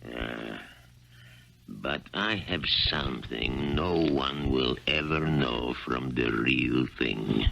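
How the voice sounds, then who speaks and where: A man's voice: slow pace, fairly bright tone, highs cut off, heavily acted. An actor playing a crafty, brandy-loving tailor in a 1970s radio drama, savouring his words like a salesman. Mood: sly, pleased. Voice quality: deep gravelly voice. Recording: noisy old radio broadcast.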